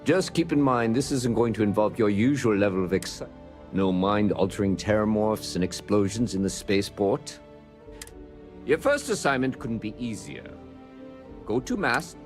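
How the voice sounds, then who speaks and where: An actor playing a reassuring security superior in a video game, briefing in a wry, matter-of-fact way. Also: male voice, on the low side, animated, normal speed, soft background music, natural voice. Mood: wry, businesslike. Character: briskly reassuring male security superior giving assignments.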